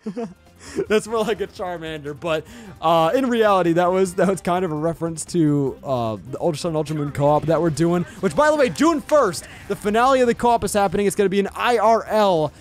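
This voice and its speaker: A young man with a Southern US accent, rambling excitedly and casually as he commentates his Let's Play at home. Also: high-pitched, a little fast. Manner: chatty, rambling, excitable, says bro and yo. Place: home recording setup with webcam. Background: faint game music.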